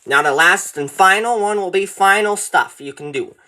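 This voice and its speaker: A man's voice: high voice, normal speed, bright, forceful tone, poor audio. A man giving a casual hands-on tutorial in an informal, folksy, rambling way, recorded on a handheld camera. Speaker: tutorial presenter. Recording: clear background noise, handling clicks.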